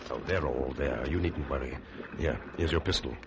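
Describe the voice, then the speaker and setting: A man playing a calm, determined prisoner accused of murder in an old-time radio drama, speaking in an earnest, low-key, persuasive way. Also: normal speed. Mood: urgent, confiding. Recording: noisy, muffled vintage radio recording.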